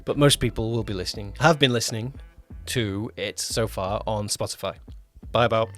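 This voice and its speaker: A man's voice: fairly low pitch, normal speed, a bit bright, soft background music. A man co-hosting a podcast as its producer, chatting casually and explaining things with frequent backtracking. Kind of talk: casual chat, project update.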